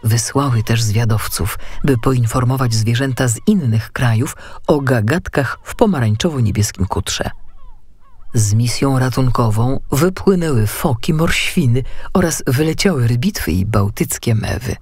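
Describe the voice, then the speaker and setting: A man narrating a children's story as an audiobook, with expressive scripted delivery. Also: mid-range voice, unhurried, husky, no echo.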